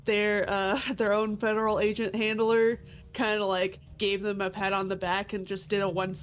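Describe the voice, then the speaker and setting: A woman's voice: mid-range voice, level tone, normal speed. A woman player with a stuffy, congested nose describing her character. She rambles loosely, with many filler words and frequent laughter.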